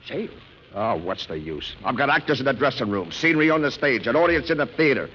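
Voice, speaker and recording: A man's voice: medium pitch, big pitch swings, a little fast, dull audio, noisy background, natural voice. A man playing a desperate, scheming Broadway producer in a 1940s radio comedy, urgent and bossy. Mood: exasperated.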